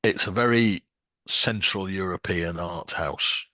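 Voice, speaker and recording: A man's voice: deep voice, slow pace, pushed and bright, no echo. A man co-hosting a casual music podcast, talking with many restarts and ums. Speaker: podcast co-host.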